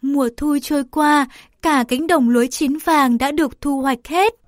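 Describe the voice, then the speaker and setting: Female storyteller for kids' tales, high voice, careful phrasing, slight noise and clicks on the recording. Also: normal speed. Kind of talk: scripted children's story narration.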